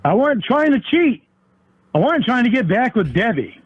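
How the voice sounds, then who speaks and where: A man's voice: very high-pitched, big pitch swings, moderate pace, slight background noise. A man on a phone call arguing defensively, swearing and using nonstandard grammar. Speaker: caller in a confrontation. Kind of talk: heated phone argument. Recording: tinny narrow phone line.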